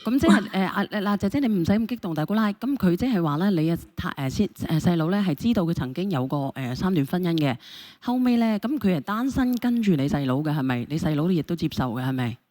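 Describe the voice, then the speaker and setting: Fast, animated Hong Kong Cantonese woman host. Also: fairly low pitch. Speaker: host of a phone-in show. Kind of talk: mediating a relationship phone-in.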